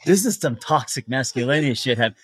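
Man, earnest, halting restarts, dry close mic. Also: mid-range voice, expressive, slightly rough, dry recording. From came to the US at three. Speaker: podcast host. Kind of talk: casual interview chat.

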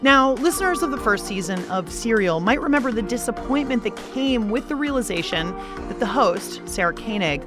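A woman's voice: a little high, expressive, a little fast, music in the background. A woman with an American accent hosting a radio show, reading scripted narration in a playful, conversational way. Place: dry studio.

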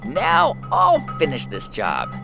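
A woman's voice: deep voice, wildly swooping pitch, slow, vocal fry, exaggerated voice. A woman voicing a triumphant, vengeful beaver in a radio play for children. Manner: triumphant, declarative. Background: mallet percussion music.

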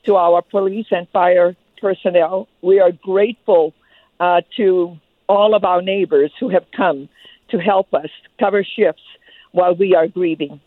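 A woman's voice: fairly low pitch, fairly even intonation, measured pace. A woman makes a public statement as a town official. The audio is thin and phone-like, with hardly any room echo. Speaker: mayor.

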